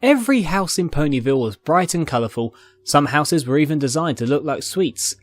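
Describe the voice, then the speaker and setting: A man's voice: a little high, expressive, fairly quick. A man reading a story aloud as a scripted, dramatic narration. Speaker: narrator, fan fiction reader.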